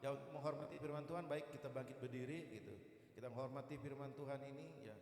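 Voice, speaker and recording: A man's voice: mid-range voice, steady tone, fast, darkish tone, slight background noise. Echoey man preaching informally. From East Kalimantan, Indonesia. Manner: chatty and jocular, with repeated "gitu" tags. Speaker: guest evangelist and preacher. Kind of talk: informal church sermon. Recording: echoey hall, long reverb.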